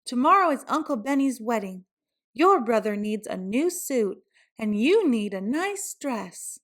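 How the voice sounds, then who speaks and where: A woman's voice: a little high, big pitch swings, unhurried. A woman voicing a caring but insistent, worried mother in a children's storybook. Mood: firm, insistent. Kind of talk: children's storybook read-aloud.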